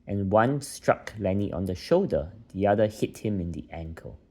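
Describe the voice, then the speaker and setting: A man's voice: low voice, lively intonation, normal speed, dark tone. A man reading a children's bedtime story aloud as a narrator.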